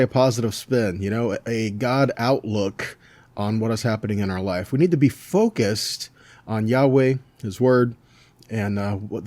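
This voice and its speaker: A man's voice: medium pitch, dark tone, dry recording. A man teaching a conversational sermon as a preacher, folksy, often checking in with short rhetorical questions.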